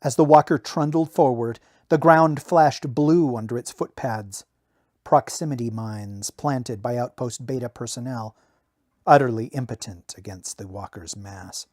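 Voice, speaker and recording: A man's voice: mid-range voice, measured pace, darkish tone, no room sound. A man who hosts a podcast, speaking in the style of an amateur audiobook narrator in a close home recording. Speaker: podcast host reading a novel excerpt.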